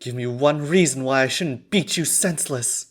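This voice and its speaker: A man's voice: mid-range voice, moderate pace, natural voice. A man voicing a fierce, righteous female warrior, hot-tempered and growling with indignation in a visual novel scene. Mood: seething. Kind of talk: dramatic visual novel character acting.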